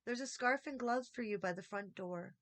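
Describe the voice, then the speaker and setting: A woman voicing a kindly, motherly housekeeper in a storybook, gentle and caring in manner. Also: a little high, normal speed, close to an everyday voice.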